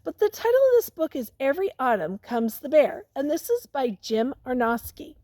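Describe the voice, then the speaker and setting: A woman's voice: sits high, sing-song, mellow, no room sound. A woman teacher reading a picture book aloud to young children, stopping to ask them questions and prompt them. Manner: pauses to question and prompt listeners.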